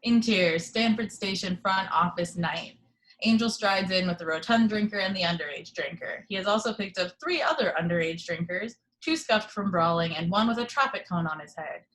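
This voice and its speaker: A woman narrates scene headings and action from a script. Her voice is of medium pitch, in the scripted reading style of an amateur table read. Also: normal speed, fairly bright tone, rough recording.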